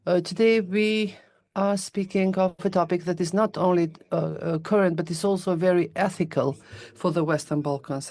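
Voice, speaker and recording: A woman's voice: fairly low pitch, a little mellow, no echo. A woman interpreting a formal speech, with frequent fillers and self-corrections.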